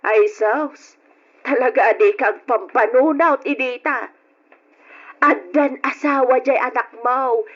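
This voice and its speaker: High, scolding Filipino woman friend. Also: takes it slowly. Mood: exasperated scolding. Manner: scolding, emphatic, blunt. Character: outspoken female friend, exasperated, blunt voice of reason. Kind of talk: radio drama acting. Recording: dull, lo-fi old radio drama.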